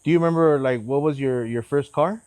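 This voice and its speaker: A man's voice: on the high side, fairly quick, a little mellow, clean tone, no echo. A man hosting a casual, friendly interview, talking in an informal, slangy way. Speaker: host, interviewer.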